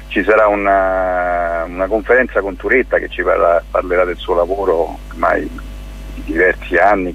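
A man speaks as a radio interview guest in a low, husky voice. He explains things informally and haltingly, searching for his words. The sound is dull and lo-fi. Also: fairly bright tone. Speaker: interview guest, photography teacher and event organiser.

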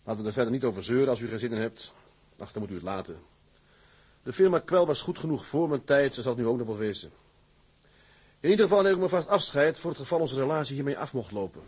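An actor playing a proud, stubborn tugboat captain in a Dutch radio drama, with a blunt, firm manner and a slightly husky voice. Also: male voice, medium pitch, expressive, fast. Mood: defiant.

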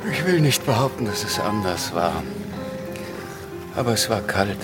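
An actor in a German radio play voicing a weary, unsentimental invalid baron, dry, curt and resigned. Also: male voice, on the low side, animated, normal speed, loud music behind the voice, natural voice. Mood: dry, tired.

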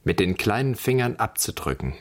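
A man's voice: fairly low pitch, sing-song, moderate pace. An actor playing the brooding inner voice of a bitter, haunted young man in a poetic radio drama. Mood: haunted, remembering. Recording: long reverberant hall.

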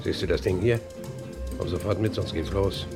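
An actor playing a blustering, nervous gangster henchman in a German crime radio play, gruff, gravelly and threatening. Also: deep voice, moderate pace, music in the background, natural voice.

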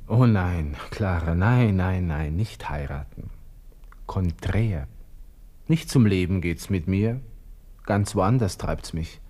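An actor playing a despairing young army lieutenant with an Austrian accent, talking to himself haltingly in a radio drama monologue. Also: male voice, sits low, expressive, dark tone, heavily acted. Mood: anguished, reflective. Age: 20s.

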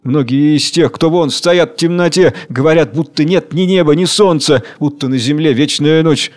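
A man acting a tender, excitable worker-poet who declaims in a pleading, dreamy way. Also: fairly high pitch, moderate pace, natural voice. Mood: excited.

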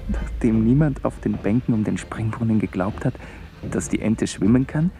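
Low, gravelly musing man. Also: moderate pace, over music. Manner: musing, fragmentary inner monologue. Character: wandering, brooding man narrating his Munich outing. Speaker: radio play actor. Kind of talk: literary radio play monologue. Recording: noisy radio recording with clicks.

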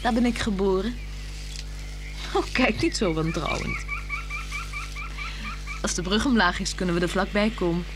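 An actress playing a playful, flirtatious married woman in a radio play, teasing and coaxing, moving between hushed words and exclamations. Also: mid-range voice, normal speed, pauses often, natural voice. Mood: teasing, wistful. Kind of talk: 1960s Dutch radio play acting. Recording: 1960s radio drama with music.

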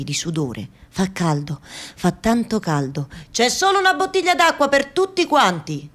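A woman acting a fearful yet defiant Afghan woman in a radio theatre monologue, speaking in deliberate, repetitive short phrases. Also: lowish voice, lightly acted. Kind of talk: dramatic first-person monologue, radio theatre.

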